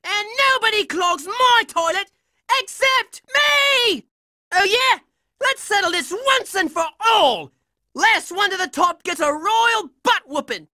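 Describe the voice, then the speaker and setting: A man's voice: very high voice, lively intonation, measured pace, bright, forceful tone, no room sound, clean studio sound, full character voice. A man voicing a brash, hot-headed young boy fighter in a cartoon video game, belligerent and exclamatory. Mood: indignant.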